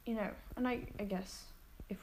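A young woman from Sweden chatting on a student podcast, reflective and halting, often restarting her thoughts. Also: mid-range voice, fairly even intonation, a little mellow, a little hiss or hum. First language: Swedish. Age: teens. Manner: reflective, halting, self-doubting. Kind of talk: casual chat.

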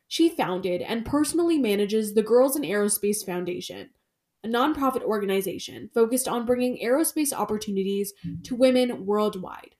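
A woman's voice: on the high side, darkish tone. A woman hosting an interview podcast, reading a guest introduction and asking friendly questions. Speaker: podcast host.

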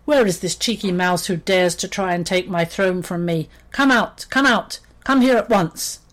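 A woman's voice: mid-range voice, big pitch swings, moderate pace, breathy edge. Woman voicing a domineering, furious lion king. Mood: roaring fury. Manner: roaring, imperious, menacing bluster.

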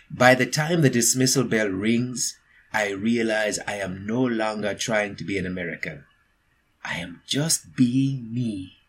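An eager, earnest young boy, voiced by a man, tells his picture-book story. The voice is husky and goes slowly and deliberately, stressing words for young listeners with storyteller emphasis. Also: fairly low pitch, heavily acted. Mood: content. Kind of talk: expressive picture-book reading.

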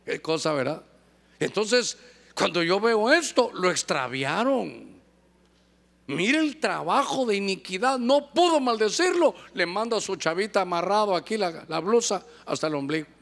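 Lively high-voiced man preaching a sermon. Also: moderate pace, vocal fry. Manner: lively, joking with the congregation, acting out little scenes.

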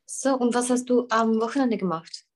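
A woman's voice: on the high side, normal speed. A woman teaching German in an online lesson, patiently prompting and correcting her student with short acknowledgments. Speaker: language teacher.

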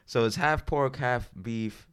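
An American man co-hosting a podcast, chatting casually and jokily as he reads out information in a halting way. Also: mid-range voice, a bit bright, clear, resonant tone. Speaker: podcast co-host. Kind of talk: casual comedic chat, reading out information.

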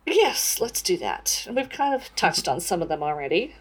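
A woman's voice: fairly low pitch, sing-song, normal speed, slightly rough. A woman co-hosting a conversational discussion about books. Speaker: book discussion podcast co-host.

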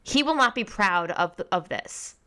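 A woman's voice: mid-range voice, expressive, normal speed, bright, forceful tone, smooth. A woman co-hosting a podcast, chatting casually and reading tweets aloud with laughs. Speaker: podcast co-host. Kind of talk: casual chat reviewing a reality show.

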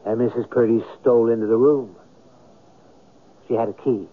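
An actor playing an imaginative, brooding writer who muses like an amateur sleuth, in a theatrical, deliberate radio-drama style. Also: male voice, medium pitch, steady tone, natural voice. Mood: speculating, intense. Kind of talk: old-time radio acting. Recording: narrow, phone-like old radio recording.

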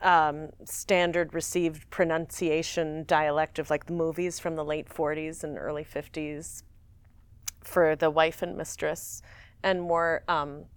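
Smooth-voiced woman in her forties. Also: deep voice, no room sound. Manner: rambling, animated, laughs often. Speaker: actress guest. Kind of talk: casual interview chat.

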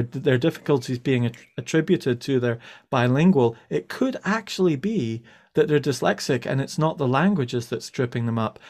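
A man's voice: mid-range voice, a little mellow. Man hosting a podcast interview. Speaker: podcast host.

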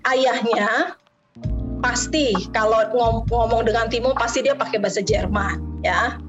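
A woman's voice: on the high side, a little slow, highs cut off, over music. Chatty woman with Jakarta Betawi accent. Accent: Betawi-tinged Jakarta Indonesian. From Jakarta, Indonesia. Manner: chatty, mixes in English words, frequent fillers. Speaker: interview guest, lecturer. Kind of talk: casual interview.

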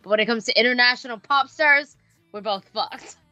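A woman around thirty co-hosting a casual podcast, chatty and playfully indignant with quick reactions. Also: on the high side, bright, forceful tone, dry recording, dull audio, faint music behind. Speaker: podcast co-host.

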